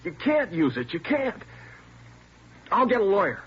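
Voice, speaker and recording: An actor playing a scared twenty-year-old car thief in a 1950s radio drama, sullen at first and then pleading. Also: male voice, high-pitched, big pitch swings, normal speed, breathy edge, exaggerated voice. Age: young adult. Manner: sullen and curt, breaking into frantic pleading. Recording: dull old radio broadcast with faint hiss.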